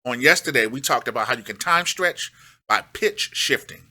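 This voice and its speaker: A man's voice: mid-range voice, lively intonation, normal speed, very noisy. A middle-aged man giving a casual, step-by-step music production tutorial, emphatic and repeating his key phrases.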